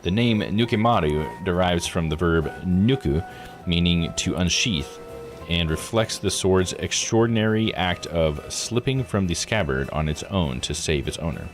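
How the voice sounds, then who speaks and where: Low-voiced, animated male game streamer. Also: unhurried, faint music behind. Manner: stumbles over foreign names and self-corrects. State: tired. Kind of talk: live playthrough, reading game text aloud with casual asides.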